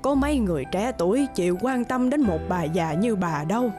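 A woman's voice: medium pitch, expressive, a little fast, a little mellow, soft background music, natural voice. An actress playing a kind, doting old neighbour woman in a cartoon, with an affectionate, fondly chiding manner. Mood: concerned, comforting. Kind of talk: cartoon voice acting.

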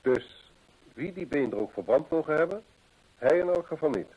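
An actor playing a shrewd, courteous gentleman detective in a radio play, formal, measured and probing. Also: a little high, animated, husky, highs cut off. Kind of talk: Dutch radio play acting.

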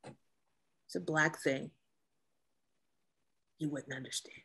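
A woman acting a guarded, masculine-presenting Navy officer in a table read of a drama, speaking in a dry, measured way. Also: sits low, steady tone, pushed and bright, slightly rough, exaggerated voice. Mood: dry mockery.

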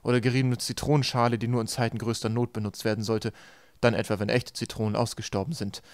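A man's voice: medium pitch, level tone, fast talker, dry recording. A man reading a children's novel aloud as scripted audiobook narration. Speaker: audiobook reader.

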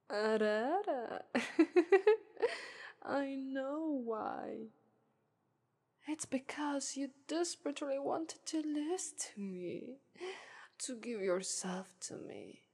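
A woman's voice: fairly high pitch, a little mellow, slightly rough, full character voice. A woman voicing a confident, haughty female demon of lust in an ASMR roleplay, whispering and teasing with an Italian accent. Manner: whispery, teasing, purring "ara ara".

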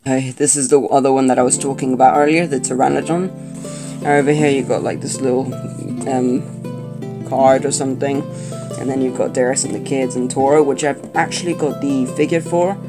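A young man from Colombia chats through a toy unboxing at a table, hesitant and rambling with frequent ums and restarts. His voice sits at an ordinary middle pitch. Guitar music plays clearly underneath the camera-mic sound. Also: fairly even intonation, fairly quick. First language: not Spanish. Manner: chatty, hesitant, many fillers and restarts. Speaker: toy reviewer. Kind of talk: casual unboxing review. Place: indoor room at a table.

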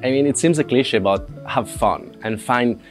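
A man's voice: mid-range voice, normal speed, soft background music. A man in his late twenties, a native Italian speaker, chatting casually to the camera with fillers and restarts, recorded on a lapel mic indoors. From Italian household. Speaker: presenter.

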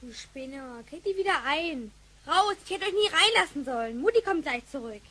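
An actress playing a bossy, lively little child with a childlike voice in a radio play. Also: female voice, high-pitched, moderate pace. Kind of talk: German radio play acting.

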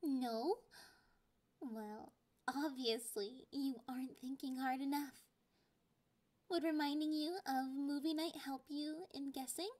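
Woman voicing a high-pitched, teasing catgirl girlfriend. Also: fairly even intonation, a little mellow. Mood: teasing, taunting. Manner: breathy whispers, drawn-out taunting delivery. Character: clingy, possessive, teasing young catgirl girlfriend, slightly sadistic. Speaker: ASMR roleplay voice actor. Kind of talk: ASMR roleplay, intimate and half-whispered.

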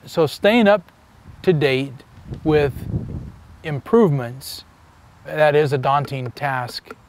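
A man's voice: fairly high pitch, slow, background noise. A man in his fifties giving an explanatory interview as an energy specialist. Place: outdoors among trees by a wooden fence.

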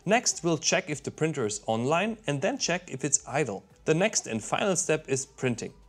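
A man's voice: a little high, very animated, normal speed, breathy edge. Upbeat man narrating a tech build. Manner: upbeat, explanatory, technical. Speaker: maker and YouTube host. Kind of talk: scripted tech voice-over narration. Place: workshop with shelves of equipment.

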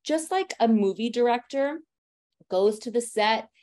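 A woman in her thirties, a family photographer, giving a lecture on posing in a lively, direct and encouraging way. She talks a lot and has a loud voice. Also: on the high side, measured pace. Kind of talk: presentation lecture.

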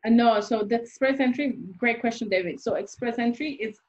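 A woman's voice: a little high, brisk. A young adult woman, an immigration consultant, explaining the rules step by step with worked examples in an interview over a video call from a small room. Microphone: video call webcam. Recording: dull lo-fi video-call audio.

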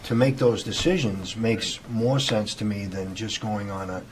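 A man with a low voice argues his points as a member of a town board. The discussion is informal, picked up by room microphones with clear background noise. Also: fairly even intonation, unhurried. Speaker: planning board member and property developer. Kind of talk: informal debate in a public meeting. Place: indoor municipal meeting room. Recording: noisy meeting room microphone pickup.

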